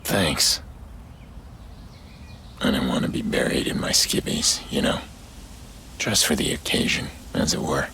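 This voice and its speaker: An actor playing a weary, guilt-ridden middle-aged vigilante in a video game, with a hushed, gravelly voice. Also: male voice, a bass voice, lively intonation, a little slow, long pauses, slight background noise, exaggerated voice. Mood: dryly wry. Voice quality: gravelly, hushed, near-whispering. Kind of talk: dramatic video game voice acting.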